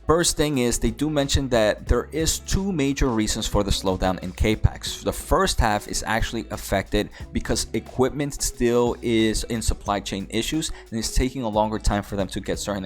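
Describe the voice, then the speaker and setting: A man hosting an investing video, explaining things informally and step by step as commentary for viewers. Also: fairly low pitch. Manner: informal, walks through numbered questions step by step. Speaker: host of a stock investing video channel.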